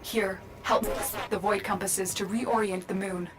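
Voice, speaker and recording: An actress playing a protective, solemn guide in a video game, giving earnest and measured instructions. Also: female voice, mid-range voice, steady tone, close to an everyday voice. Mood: urgent, grave. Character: calm, protective female guide and mentor, solemn. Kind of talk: dramatic video game voice acting.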